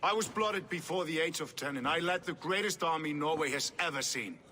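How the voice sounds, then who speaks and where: An actor playing a proud, ambitious Norse warrior king in a video game, speaking in formal, measured oratory. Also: a little high, sharp tone. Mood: grandiose, rousing. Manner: grandiose, measured, commanding speech. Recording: reverberant hall with faint background noise.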